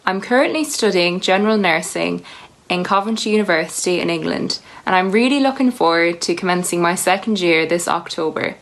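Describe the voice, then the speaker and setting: A woman's voice: fairly low pitch, brisk. A young Irish woman, a nursing student, introducing herself politely and evenly. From Ireland. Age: young adult. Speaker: nursing student, pageant contestant.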